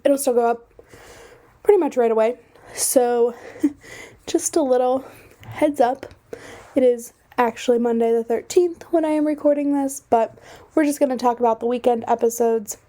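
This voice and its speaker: A young woman hosting a casual podcast, chatty and self-deprecating with lots of fillers, her voice slightly hoarse from a cold. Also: high voice, mellow. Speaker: podcast host. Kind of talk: casual solo podcast chat.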